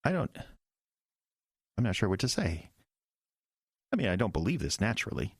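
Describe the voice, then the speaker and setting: Man as an earnest, deep-voiced young pastor. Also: very animated, husky, no echo, studio quality, heavily acted. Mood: incredulous. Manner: hesitant, earnest, halting. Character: earnest, skeptical young male church pastor. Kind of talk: audio drama dialogue.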